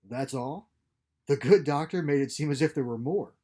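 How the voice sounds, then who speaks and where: A man's voice: a little high, normal speed, warm tone, pitched above the performer's own voice, natural voice. A man voicing a stern, threatening starship captain with clipped, commanding politeness in a story read aloud. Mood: smug.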